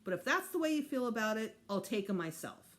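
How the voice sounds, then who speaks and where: A woman plays a storybook mother of young children. She reasons firmly and patiently with an exasperated edge, her pitch rising and falling freely. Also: big pitch swings, brisk.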